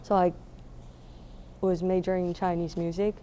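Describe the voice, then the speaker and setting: Mellow young Taiwanese-accented woman. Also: fairly low pitch, flat delivery, normal speed, a little hiss or hum. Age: young adult. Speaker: musician being interviewed. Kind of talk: casual interview answers.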